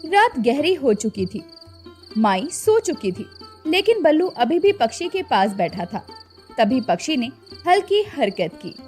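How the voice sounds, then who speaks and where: A woman narrating a scripted children's cartoon story in a slow, expressive way. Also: sits high, faint music behind.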